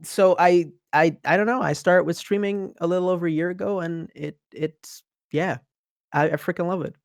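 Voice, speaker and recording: Upbeat young Dutch-accented man, higher voice. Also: steady tone, creaky, no echo, studio quality. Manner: upbeat and gushing, many quick restarts. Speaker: podcast guest, streamer. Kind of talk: casual interview chat.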